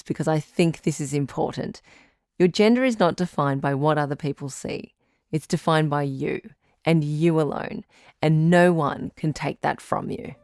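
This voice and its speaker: A woman playing a calm doctor who hosts a radio advice show in an audio drama, measured, articulate and reassuring. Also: low voice, no room sound, clean studio sound, lightly acted. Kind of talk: scripted audio drama as radio call-in host.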